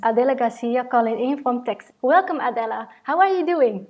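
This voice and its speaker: A woman's voice: a little high, brisk, dull audio. A woman hosting a podcast, asking polite, upbeat interview questions. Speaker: podcast host.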